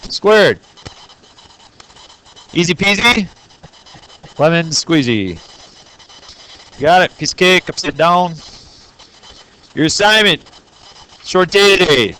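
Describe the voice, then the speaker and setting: A man's voice: sits high, animated, slow pace, long pauses, pushed and bright, rough recording. A man teaching a math lesson to his class, joking and playful, talking straight to the students. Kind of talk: classroom lesson recorded on video.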